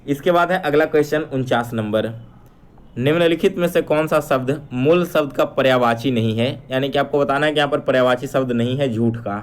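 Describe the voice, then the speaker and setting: A man speaks as an exam-prep teacher in a middle-pitched voice. He addresses his students directly, reading out questions, repeating them and explaining the answers in an instructive way. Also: a little fast, smooth. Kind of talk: explanatory quiz lesson.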